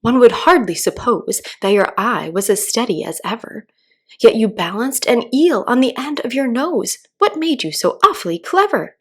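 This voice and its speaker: A woman's voice: on the high side, lively intonation, moderate pace, husky, voice pushed up. A woman voicing a cheeky, inquisitive young man, reciting rhymed verse from a children's storybook. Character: cheeky, inquisitive young man questioning his old father. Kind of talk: children's storybook read-aloud.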